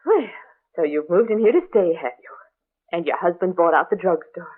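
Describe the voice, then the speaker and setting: A woman's voice: fairly low pitch, animated, normal speed, breathy edge. Older busybody woman, dramatic and ominous storyteller, 1940s-style radio acting, muffled band-limited recording. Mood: chatty, fussy. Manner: chatty, exclamatory, ominous storytelling. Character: gossipy, superstitious older female neighbor with rheumatism, dramatic and morbid.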